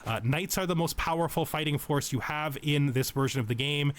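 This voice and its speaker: A man's voice: a little high, brisk. A man giving casual let's play tutorial commentary, explaining game mechanics and thinking aloud as he goes.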